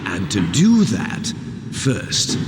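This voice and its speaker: An actor playing a menacing, theatrical villain in a video game, declaiming with grandiose contempt. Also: male voice, fairly low pitch, huge pitch swings, drawn-out words, heavy background noise, full character voice. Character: menacing, theatrical male villain obsessed with destiny. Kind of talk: dramatic video game voice acting. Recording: cavernous reverb under loud music.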